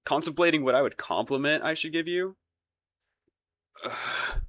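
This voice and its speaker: A man's voice: fairly high pitch, moderate pace, a bit bright, natural voice. A young man voicing a shy, awkward crown prince in a romantic audio roleplay, stumbling over his words out of embarrassment. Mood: embarrassed. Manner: flustered and self-deprecating, stumbling over words. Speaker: ASMR voice actor.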